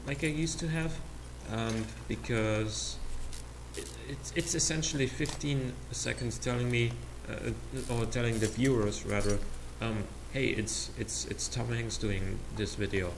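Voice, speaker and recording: A young Austrian man, German his first language, chats loosely over his gameplay, his voice gravelly and full of ums and restarts. The recording carries a steady hiss underneath. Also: mid-range voice, unhurried. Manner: rambling, hesitant, many fillers and restarts. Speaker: let's play host. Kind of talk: casual rambling commentary over gameplay. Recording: noisy home recording.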